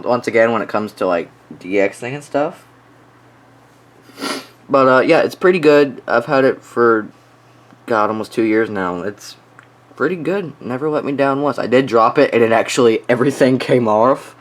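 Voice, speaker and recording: A young man giving a casual, offhand showcase of his collection, halting and often trailing off. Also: mid-range voice. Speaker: collector showing weather radios. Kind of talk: casual product showcase vlog.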